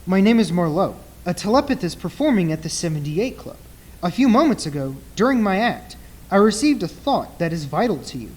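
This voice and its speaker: A man playing a commanding stage mind reader in a radio play, theatrical, deliberate and insistent. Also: high voice, big pitch swings, darkish tone. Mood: grave.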